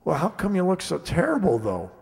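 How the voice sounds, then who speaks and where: A resigned, lonely security guard in a radio play played by a man. His voice sits a little high with plenty of gravelly creak, and he rambles folksily. The hall adds a long reverb. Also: wildly swooping pitch, brisk, a little mellow, full character voice. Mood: surprised, friendly. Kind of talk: amateur radio play acting.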